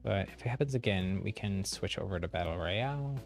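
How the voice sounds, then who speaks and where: A man chatting casually on a game livestream, thinking aloud in a halting way. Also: low voice, animated, faint music behind. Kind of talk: casual live gaming chat.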